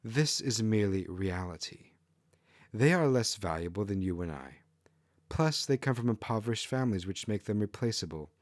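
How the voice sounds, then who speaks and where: A man's voice: on the low side, expressive, normal speed, dry recording, studio quality, close to an everyday voice. Man voicing an arrogant, callous fox kitsune woman. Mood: haughty, scornful. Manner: haughty, coldly dismissive.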